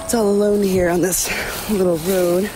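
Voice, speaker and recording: A woman playing a quiet, lonely middle-aged churchgoer who recounts her past haltingly and plainly, as in a documentary interview. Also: mid-range voice, little pitch movement, music in the background, lightly acted. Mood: wistful. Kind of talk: audio drama mock-documentary interview.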